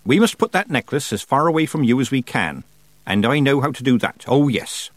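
A man's voice: mid-range voice, animated, a little fast, no room sound, voice pushed up, close to an everyday voice. A man reading aloud, voicing a stern, cold old witch woman who speaks in a clipped, commanding way.

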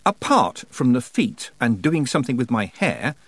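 A man's voice: a little high, huge pitch swings, moderate pace, no room sound, pitched above the performer's own voice. A man voicing a sensible, sceptical young witch girl in a storybook reading. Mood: exasperated, questioning.

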